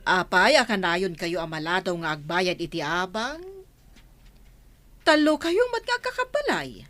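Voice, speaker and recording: A woman's voice: lowish voice, sing-song, a little slow, fairly bright tone, no echo. A woman playing a nagging landlady in an Ilocano comedy radio drama, scolding matter-of-factly with an Ilocano Filipino accent. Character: nagging landlady chasing overdue rent.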